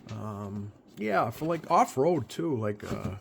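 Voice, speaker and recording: An American man giving a casual, unscripted product walkthrough as a hobbyist, speaking in a hesitant, halting way with drawn-out pauses. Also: mid-range voice. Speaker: radio hobbyist presenting a video. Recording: clear steady background noise.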